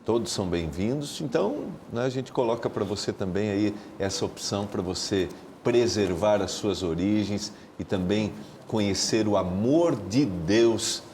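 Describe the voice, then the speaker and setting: A Brazilian man with a Brazilian Portuguese accent hosting a Bible study talk show, animated and full of tag questions. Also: moderate pace, few pauses, slight background noise. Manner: animated pitch jumps, frequent tag questions. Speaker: TV Bible study host, pastor. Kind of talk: casual studio talk.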